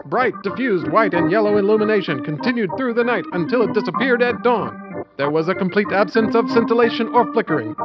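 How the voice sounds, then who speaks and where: Old-time male newsreader, high voice, earnest and formal, dull radio sound under loud music. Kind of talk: scripted news report reading.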